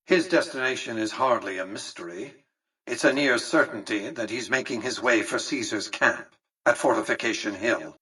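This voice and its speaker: An actor playing an older, imperious tycoon in a video game, with a pompous, precise, dryly sardonic delivery. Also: male voice, mid-range voice, sharp tone, slightly rough, far from the mic, poor audio. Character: imperious, calculating tycoon ruler of a casino city, condescending and dry. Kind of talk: video game character dialogue.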